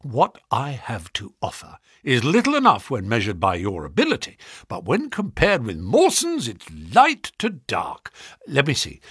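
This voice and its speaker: A man voicing a brisk, flattering financial agent in a story, speaking sharply with grand, pushy salesmanship. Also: mid-range voice, slightly rough, dry recording, clean studio sound, exaggerated voice. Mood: effusive, flattering. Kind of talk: audiobook character dialogue.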